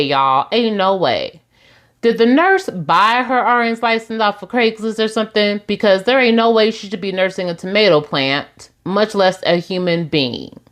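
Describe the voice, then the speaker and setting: A woman in her forties with a lilt hosts a casual recap. She talks in a sassy, chatty way and calls her listeners "y'all." Her voice sits in a middle pitch. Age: 40s. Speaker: recap host.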